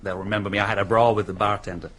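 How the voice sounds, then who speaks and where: An actor playing a defiant, rough middle-aged man held by police in a radio play, talking back in a belligerent, evasive way. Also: on the low side, little pitch movement, very fast, a bit bright. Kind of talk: radio drama acting.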